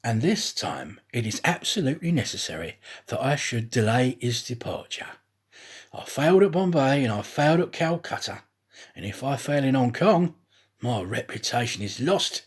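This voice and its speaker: A man's voice: medium pitch, big pitch swings, moderate pace, slightly rough. A man voicing a scheming, anxious detective in a dramatic, exclamatory way for a storybook. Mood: brooding, determined. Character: scheming, anxious detective feigning innocent surprise. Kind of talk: acted audiobook dialogue.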